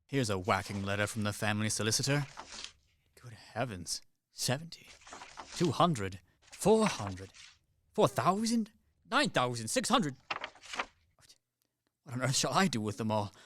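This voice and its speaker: A man's voice: mid-range voice, very animated, a little slow, pauses often, a bit bright, slight background noise. A man in a radio play acting a practical, unsentimental army captain with a businesslike manner. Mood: astonished, flustered. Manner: matter-of-fact, clipped, businesslike. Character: brisk, practical Swiss soldier, unsentimental captain.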